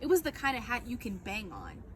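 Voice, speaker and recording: A woman reading a children's book aloud as a storyteller, with expressive, dramatic narration. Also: fairly high pitch, a little fast, slight background noise.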